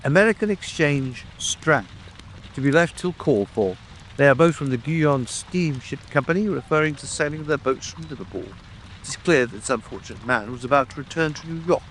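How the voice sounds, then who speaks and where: A man's voice: on the high side, a little fast, slight background noise, rough recording. A man playing a proud, easily offended Victorian police detective in a dramatic audiobook, matter-of-fact and defensive. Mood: businesslike. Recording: steady rain ambience over voice. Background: rain.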